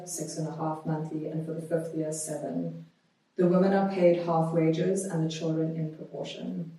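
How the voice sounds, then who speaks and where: A woman guest on a podcast, talking conversationally and reading aloud in a measured, deliberate way. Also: lowish voice, mellow. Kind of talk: conversational interview, reading a historical notice aloud. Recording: very echoey room, distant microphone.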